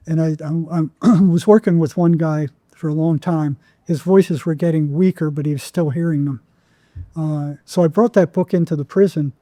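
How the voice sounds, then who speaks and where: A man's voice: a little high, warm tone, no room sound, clean studio sound. A man telling stories as an interview guest in a conversational, rambling way, often acting out quoted dialogue. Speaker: podcast guest, psychotherapist.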